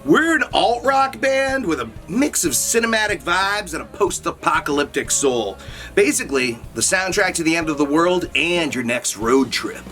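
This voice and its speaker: Bright, animated man in a promo. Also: a little high, normal speed. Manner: upbeat, casual. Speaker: rock band member. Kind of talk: scripted promo. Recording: faint music behind. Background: music.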